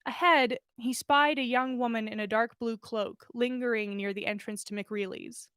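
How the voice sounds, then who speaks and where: A woman narrating a story aloud in an expressive storytelling style, as a podcast host reading fiction. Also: fairly high pitch, normal speed, clear, resonant tone, no echo, clean studio sound.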